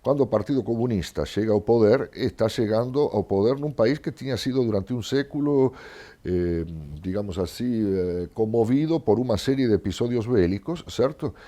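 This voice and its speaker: A man's voice: medium pitch, expressive, moderate pace, mellow. An older man with an Argentine accent, a native Spanish speaker, talking discursively as an interview guest and often checking in with a short tag question. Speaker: guest historian and professor. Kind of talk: conversational interview, explaining history.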